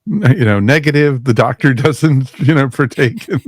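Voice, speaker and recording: A man's voice: mid-range voice, normal speed, no echo. A man hosting a casual podcast discussion, chatty and enthusiastic, often laughing. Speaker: podcast host.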